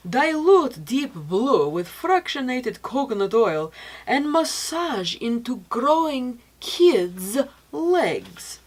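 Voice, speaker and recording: Young female presenter, higher-pitched voice, informal testimonial storytelling, acts out quotes. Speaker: speaker presenting product testimonials. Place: small decorated indoor set.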